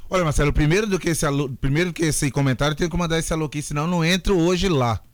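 A man's voice: on the high side, racing, dry recording. A man on a radio sports panel who sends jokey greetings to family, then gives a reasoned opinion. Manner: chatty, joking, then reasoned.

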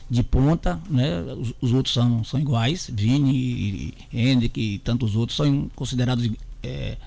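Gravelly, halting radio sports pundit. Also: male voice, medium pitch, dark tone. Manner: halting, repeats words, firm opinions.

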